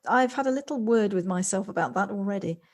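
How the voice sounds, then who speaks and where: A woman's voice: medium pitch, animated, fast, darkish tone, natural voice. A woman acting in an audio play as an anxious, polite newcomer to the countryside, speaking in hesitant, formal, wordy sentences. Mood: fond, hesitant. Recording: home recording on personal device, noisy.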